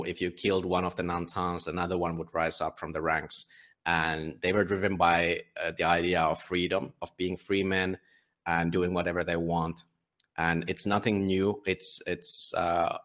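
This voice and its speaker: A Finnish man, a publisher and guest, talking casually in a conversational interview, often halting and restarting his sentences. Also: low-pitched, a bit bright. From Finland. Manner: halting, many restarts and fillers.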